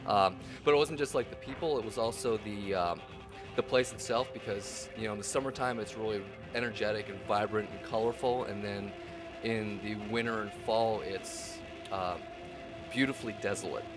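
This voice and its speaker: A male bassist from Prince Edward Island, Canada, describes where he grew up in a reflective, descriptive way. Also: moderate pace, music in the background, low-quality recording. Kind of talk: casual interview answers. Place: music club.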